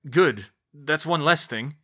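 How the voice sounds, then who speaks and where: Man as a bright-voiced teenage wizard lad. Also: fairly high pitch, takes it slowly, voice pushed up, exaggerated voice. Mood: casual. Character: loyal, mischievous teenage wizard twin brothers. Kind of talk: audiobook character voice.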